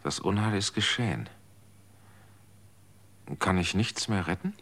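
A man's voice: deep voice, lively intonation, unhurried, a bit bright, heavily acted. An actor in a radio drama playing a guilt-ridden man who pleads and accuses. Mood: anguished, accusing.

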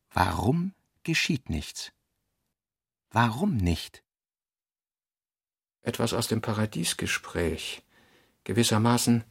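A man narrates literary prose for a radio play, his voice gravelly with vocal fry and set at an ordinary male pitch. The delivery is measured and deliberate, captured in a dry, close studio sound. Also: no echo.

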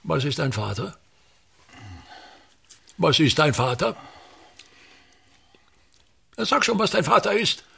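An actor playing a pompous, bitter, tyrannical ageing actor-manager in a radio play, declaiming and haranguing with a husky voice. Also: male voice, fairly high pitch, sing-song, slow, pauses often, sharp tone, exaggerated voice. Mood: demanding, vain. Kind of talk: theatrical radio play monologue.

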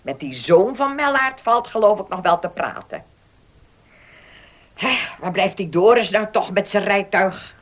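Casting: imperious elderly lady of the manor, radio drama, tart tongue, mid-pitched voice. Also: expressive, measured pace. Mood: brisk, grumbling. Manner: bossy, snappish. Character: haughty, sharp-tongued older lady of the manor. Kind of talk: Dutch radio play acting.

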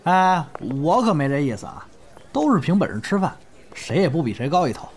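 A man's voice: on the high side, very animated, fairly quick, a little hiss or hum. A man with a northern Mainland Chinese accent, acting a genial, streetwise young comic performer in an audio drama. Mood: teasing. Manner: colloquial, placating. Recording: music behind the voice.

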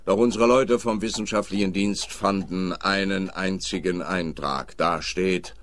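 A man's voice: deep voice, fairly even intonation, measured pace, fairly bright tone. A man with a Swiss German accent playing a self-important, muddled police officer in a radio play. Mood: pompous. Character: dim, pompous male police officer.

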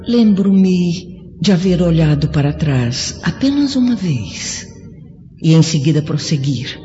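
A woman's voice: sits low, animated, dark tone. An actress with a Brazilian accent playing a noble, welcoming female spirit in a solemn, lyrical radio drama. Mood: reminiscing. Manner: slow, serene, lyrical. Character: noble, welcoming female spirit guiding a friend through heaven. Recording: muffled radio drama over music.

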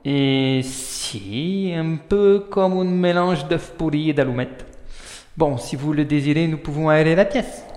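A man's voice: a little high, unhurried, a little mellow, natural voice. An actor playing an anxious, courteous man in an audio drama, fretful and easily alarmed. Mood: puzzled courtesy. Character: anxious, courteous man, frightened companion of a heroine. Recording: echoey long reverb, faint music.

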